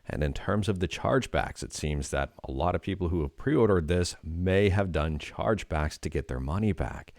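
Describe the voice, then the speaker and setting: Deep-voiced Canadian man hosting tech news. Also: creaky, darkish tone, dry recording, studio quality. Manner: conversational, hesitant fillers when reacting. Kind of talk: casual news roundup.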